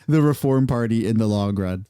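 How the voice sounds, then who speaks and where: A man's voice: on the low side, normal speed, warm tone, smooth, no room sound, clean studio sound. A man ranting in a heated, casual reaction commentary over political clips, using slang.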